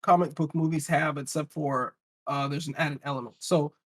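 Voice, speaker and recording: Male, slightly high register, casual panel debater, emphatic and argumentative. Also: fairly even intonation, normal speed. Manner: emphatic, argumentative, rhetorical questions. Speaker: podcast panelist.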